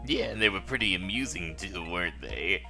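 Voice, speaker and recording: A man's voice: low voice, lively intonation, moderate pace, pushed and bright, soft background music, full character voice. A man voicing a suave, flirtatious villainous henchman from a video game. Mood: smooth, sceptical. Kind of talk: video game character dub in a let's play.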